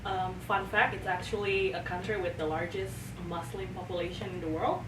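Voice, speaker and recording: A woman's voice: lowish voice, steady tone, brisk. Indonesian-accented woman in a roomy, noisy recording. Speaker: podcast guest, church leader. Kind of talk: casual conversational interview. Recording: roomy, distant mic with clear background noise.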